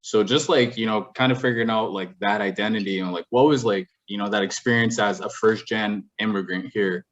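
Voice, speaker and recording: Low-voiced male interviewer, rambling. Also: lo-fi sound. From immigrant to Canada. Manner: relaxed, rambling questions with fillers. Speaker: co-host. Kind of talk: interview chat.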